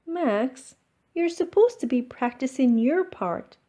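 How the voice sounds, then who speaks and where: A woman's voice: high-pitched, animated, takes it slowly, warm tone, pitched above the performer's own voice, full character voice. A woman voicing an encouraging but firm primary school teacher in a children's storybook, announcing and reassuring.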